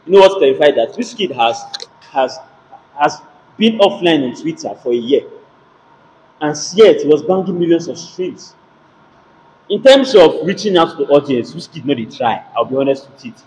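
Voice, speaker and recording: A man's voice: a little high, expressive, moderate pace, darkish tone. A young man with a Nigerian accent chatting and debating casually, emphatic and repeating himself to get his point in. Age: young adult. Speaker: participant in a music debate. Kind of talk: casual debate chat, English mixed with Pidgin. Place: indoor gym, medium-sized room. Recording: noisy gym room pickup.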